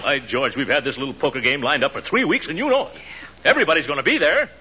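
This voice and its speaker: A man's voice: medium pitch, animated, quick pace, pushed and bright. An actor playing a pompous, chuckling middle-aged neighbour in 1940s radio comedy, blustering and hesitating as he talks. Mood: urgent, blustery. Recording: dull, noisy 1940s radio recording.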